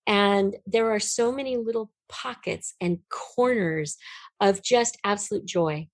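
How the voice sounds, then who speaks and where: Woman gushing away in an interview, chatty storyteller, mid pitch, really dry sound. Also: unhurried, no echo. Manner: effusive, enthusiastic. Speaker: interview guest, writer. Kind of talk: animated conversational storytelling.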